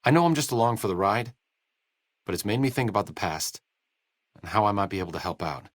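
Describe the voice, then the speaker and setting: A man's voice: on the low side, quick pace, dry recording, close to an everyday voice. A man playing a wry, bookish, self-deprecating doctor and researcher in a video game, with dry, erudite understatement. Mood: earnest. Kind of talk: video game character dialogue.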